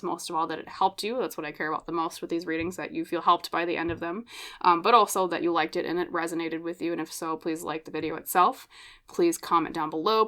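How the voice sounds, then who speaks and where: A woman giving a pick-a-card tarot reading, speaking in a gentle, reassuring way with a slightly scratchy voice. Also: lowish voice. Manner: gentle, slow, reassuring, with many fillers and tag questions. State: scratchy voice from smoke. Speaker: tarot reader.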